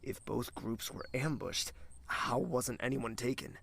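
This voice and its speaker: Man playing a brooding, slow-spoken, gravelly prince. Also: medium pitch, fairly bright tone, exaggerated voice. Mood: stern, probing. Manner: measured, terse. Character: brooding, commanding young prince, calm and guarded. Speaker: voice actor in audio drama. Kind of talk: dramatic audio drama acting.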